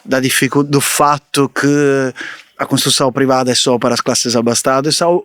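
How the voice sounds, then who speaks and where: A man's voice: medium pitch, steady tone, normal speed, a bit bright, no room sound. A man from southern Italy speaks as a guest researcher, with an Italian accent and a mid-range voice. He explains things analytically, often correcting himself, in a dry, close podcast sound.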